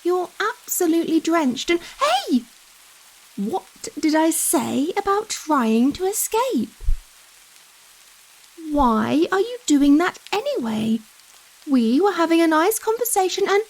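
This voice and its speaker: A woman playing a giant female vampire in an intimate ASMR roleplay, gentle but possessive and dominant, teasing coyly with pet names as rain falls. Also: extremely high pitch, heavily acted, low-quality recording. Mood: coy, smug, then scolding. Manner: slow, coy, coddling talk with pet names. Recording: rain falling behind the voice. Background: steady rain.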